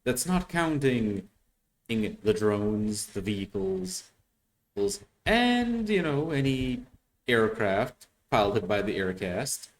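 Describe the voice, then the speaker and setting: A man gives off-the-cuff commentary as a reaction host. His mid-range voice moves around a lot in pitch. He talks casually and haltingly, working out his thoughts as he goes. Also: very animated, slight background noise. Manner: halting, thinking aloud.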